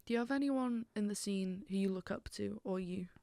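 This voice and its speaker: A woman hosting a casual interview, keen and quick to agree. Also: medium pitch, vocal fry, mellow, no room sound. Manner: enthusiastic, agreeing often. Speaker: podcast host.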